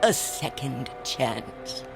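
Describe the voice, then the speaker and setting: A solemn old woman who tells fortunes in a radio drama. She speaks very slowly and deliberately, with a wide-swinging pitch and cryptic wisdom. Music plays clearly under her voice. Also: lively intonation, full character voice. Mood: solemn, wise. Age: older.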